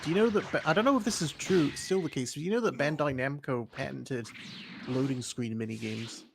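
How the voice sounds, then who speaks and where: A man's voice: mid-range voice, a little fast, background noise. A man with a slightly nasal voice chatting casually about video games on a new microphone that is not yet set up, rambling and often restarting his sentences. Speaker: co-host of a gaming stream. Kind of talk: casual gaming chat. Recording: background music clearly heard.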